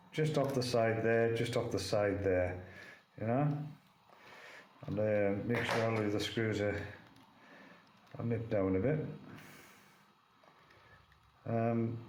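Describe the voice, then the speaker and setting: A man with a chesty cold, casually demonstrating vape gear and rambling with lots of fillers. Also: fairly low pitch. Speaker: vape reviewer. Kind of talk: casual hands-on product demo. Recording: echoey room with faint noise.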